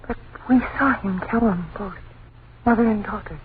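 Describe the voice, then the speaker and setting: An actress playing a frightened, protective wife and mother in an old radio drama, breathless, halting and pleading. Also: medium pitch, narrow, tinny audio, lightly acted. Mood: horrified. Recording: thin, noisy old radio recording.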